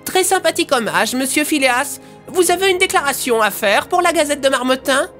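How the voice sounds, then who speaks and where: A woman's voice: sits high, lively intonation, brisk, fairly bright tone, soft background music. A woman playing a nosy, pushy newspaper journalist in an audio drama, questioning people with formal politeness. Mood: prying.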